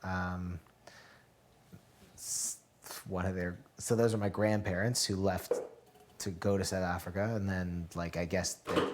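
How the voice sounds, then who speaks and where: A man's voice: low voice, flat delivery, a little slow, pauses often, slight background noise. A man in his late twenties in casual group chat, talking earnestly and getting fired up, with many restarts. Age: late 20s. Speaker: reality show contestant.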